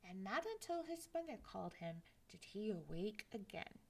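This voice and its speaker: A woman reading a picture book aloud at library story time, expressive and dropping to hushed whispers. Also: mid-range voice, normal speed, creaky, husky.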